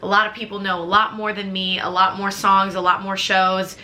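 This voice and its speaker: A woman's voice: medium pitch, flat delivery, normal speed, bright, forceful tone. An American woman in her twenties from the Chicago suburbs, chatting casually to camera in a rambling, upbeat vlog with lots of fillers. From Chicago suburbs, USA. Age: 20s. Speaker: vlogger.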